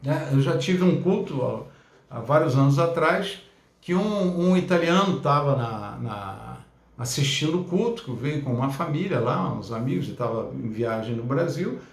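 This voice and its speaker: Chatty pastor in an echoey room. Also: male voice, medium pitch, animated, normal speed. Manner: conversational, many fillers and tag questions. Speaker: pastor and Bible teacher. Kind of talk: church teaching lesson.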